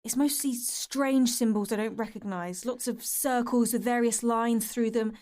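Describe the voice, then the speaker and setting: A woman's voice: fairly high pitch, fairly even intonation, a little slow, darkish tone, natural voice. An actress playing an uneasy woman investigator reading out disturbing findings in an audio drama, sounding distressed. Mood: uneasy, intent.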